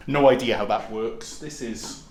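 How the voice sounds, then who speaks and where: A man's voice: mid-range voice, unhurried. A middle-aged man from Wales with a Welsh accent, talking casually and rambling as he films his travels. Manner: chatty, rambling, self-deprecating. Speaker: travel vlogger. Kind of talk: casual vlog narration. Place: indoor room in a guest house. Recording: echoey with background noise.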